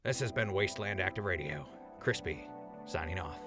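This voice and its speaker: A man's voice: sits low, expressive, normal speed, over music. A man playing a wry, needling but sensible ghoul in a post-apocalyptic audio drama, casual and sardonic.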